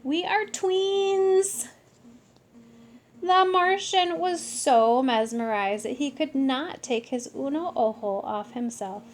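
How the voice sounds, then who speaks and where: A woman reading a children's story aloud in an expressive, animated way with deliberate phrasing. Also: high voice, slight background noise. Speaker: storybook reader.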